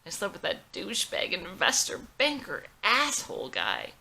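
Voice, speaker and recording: A woman's voice: medium pitch, huge pitch swings, normal speed, vocal fry, sharp tone, a little hiss or hum, exaggerated voice. A woman reading the part of a brash, flippant female friend in a drama script, blunt and casual.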